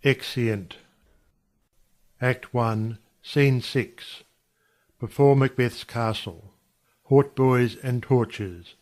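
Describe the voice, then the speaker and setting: A man's voice: medium pitch, extremely slow, long pauses, a little mellow. A man narrating stage directions in a scripted audiobook reading.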